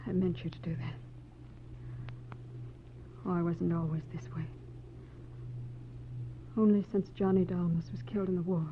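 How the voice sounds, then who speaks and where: A woman's voice: lowish voice, fairly even intonation, moderate pace, pauses often, lightly acted. An actress playing a wistful, secretive married woman in a 1940s radio detective drama, speaking earnestly and a little hesitantly. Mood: wistful confession. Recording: tinny, noisy, humming 1940s radio recording.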